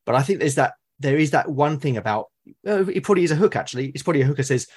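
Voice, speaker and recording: A British man in casual, reflective conversation, explaining things in a halting, thoughtful way and often rephrasing himself. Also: a little high, lively intonation, a little mellow, breathy edge. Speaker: podcast guest or co-host, tabletop game master. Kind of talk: casual reflective conversation about roleplaying games.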